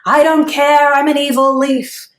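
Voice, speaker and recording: A silly storybook villain, a leaf, growls out loud, high threats, as a woman puts him on. He boasts and menaces with hammy glee. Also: flat delivery, a little slow, echoey room, distant mic, faint music behind. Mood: menacing.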